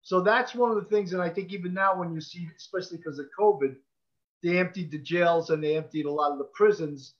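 An older police officer in his sixties with a somewhat raised pitch, speaking earnestly and bluntly. The sound is muffled and lo-fi, as over a video call. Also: brisk. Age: 60s. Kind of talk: casual conversation.

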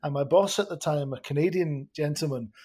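A man's voice: fairly high pitch, brisk, mellow, slightly rough. A Scottish man chatting as a podcast guest, laughing often and restarting his sentences. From Scotland. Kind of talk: casual podcast conversation.

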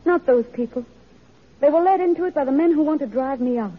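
A woman's voice: sits high, brisk, natural voice. An actress in an old-time radio drama playing an earnest, determined woman reformer with a genteel, emotive, pleading manner. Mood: wounded, determined. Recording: dull vintage radio recording, noisy, crowd behind.

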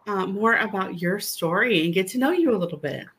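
Calm woman podcast co-host. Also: medium pitch, a little fast, a little hiss or hum. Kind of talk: podcast hosting.

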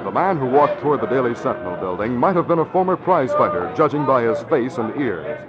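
A man's voice: mid-range voice, big pitch swings, a little fast, over loud music. A male announcer and narrator of an old radio show, delivering sponsor lines and narration in an emphatic, declamatory way. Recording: muffled old radio broadcast.

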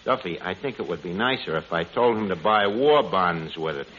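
A man's voice: low voice, lively intonation, moderate pace, noisy background. An actor playing a brash, wisecracking New bar manager who mangles his English in 1940s radio comedy. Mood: sheepish, blunt. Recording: muffled 1940s radio, loud studio audience.

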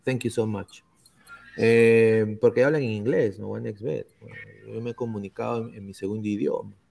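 A man's voice: fairly low pitch, fairly even intonation, normal speed, gravelly, darkish tone. A Peruvian man hosting a football podcast, chatting casually with lots of fillers and restarts. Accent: Peruvian Spanish. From Peru. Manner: chatty, many fillers and restarts, reads sponsor plugs. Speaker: podcast host.

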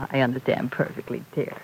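A woman playing a fond, slightly fussy middle-aged mother in an old-time radio soap opera, gentle and expressive. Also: normal speed, a little hiss or hum, natural voice, low-quality recording. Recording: vintage radio recording.

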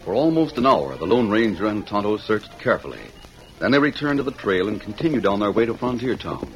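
A male announcer narrates a vintage radio western. His delivery is declamatory and highly animated, swinging widely in pitch. The recording is dull and old, with some music behind him. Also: brisk. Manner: dramatic, declamatory. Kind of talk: 1940s radio scripted narration.

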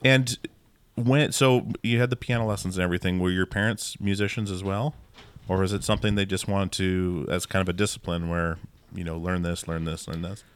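Smooth, low-pitched man hosting a podcast. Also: fairly even intonation, fairly quick. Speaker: podcast host. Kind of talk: casual interview questioning.

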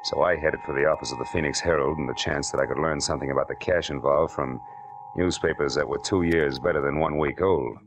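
An American actor playing a wry, hard-boiled private detective in a 1940s radio drama, sardonic and slangy in clipped phrases. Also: male voice, a bass voice, fairly even intonation, fairly quick, rough recording. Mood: wry, brooding. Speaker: lead actor and narrator. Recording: vintage radio drama with music behind.

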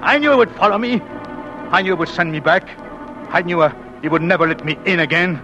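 An actor playing an anguished, devoted immigrant husband in an old radio drama, speaking in a halting, brooding, intense way. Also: male voice, high voice, muffled recording, music in the background, close to an everyday voice. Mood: brooding, fearful. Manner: halting, brooding, intense delivery with repeated words. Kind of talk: old dramatic radio-play acting with first-person narration.

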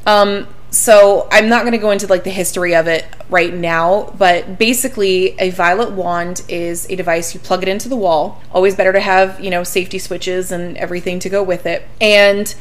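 A woman co-hosting a casual podcast, animated and laughing often, emphatic when stressing safety. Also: lowish voice, moderate pace, hardly any pauses. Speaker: podcast co-host.

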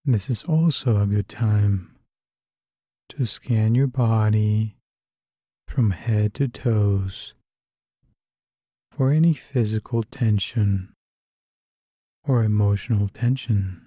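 Slow, very dark-voiced man guiding meditation. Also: lowish voice, breathy edge, no room sound, studio quality. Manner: calm, unhurried, soothing instruction. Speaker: guided meditation narrator. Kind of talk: slow guided sleep meditation narration.

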